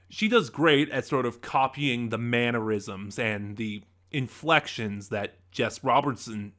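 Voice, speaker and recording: Bright-voiced man reviewing an audio drama. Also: mid-range voice. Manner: conversational, many fillers and restarts. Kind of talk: casual spoken review.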